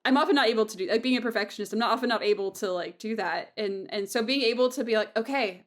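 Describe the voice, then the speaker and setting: A woman talking casually and reflectively, earnest and effusive, often circling back and repeating herself as she goes. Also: a little high. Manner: earnest, effusive, halting with self-repetition. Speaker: audio drama creator and host.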